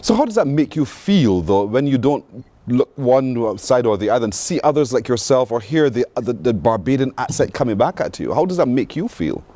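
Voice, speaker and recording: A man hosting a morning TV show, asking lively, encouraging questions of a guest. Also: mid-range voice, normal speed, dry recording. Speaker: host.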